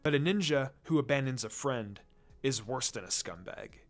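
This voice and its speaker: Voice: medium pitch, animated, normal speed, soft background music, voice pushed down, lightly acted. A narrator voicing a stern, serious male ninja teacher who lectures, in a story reading.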